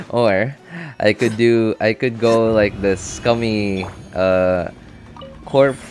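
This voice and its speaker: Low-voiced man thinking aloud. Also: expressive, darkish tone. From Philippines. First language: Cebuano. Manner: halting, thinking aloud with many fillers and restarts. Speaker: game streamer. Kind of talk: casual live-stream chat.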